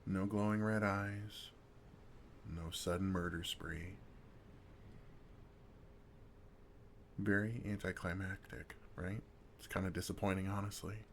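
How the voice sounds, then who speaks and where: Man acting as a gravelly, deep, gentle vampire. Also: steady tone, slight background noise. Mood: wry, teasing. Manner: soft-spoken, reassuring, gently teasing with pauses. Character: kind, wry older male vampire comforting a fledgling. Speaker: ASMR roleplay performer. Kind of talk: intimate ASMR roleplay, second-person comforting.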